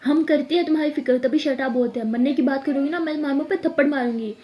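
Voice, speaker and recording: A woman's voice: sits high, fast talker, highs cut off, natural voice. A woman voicing a strict, impatient mother who scolds her daughter firmly. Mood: scolding. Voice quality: firm, scolding.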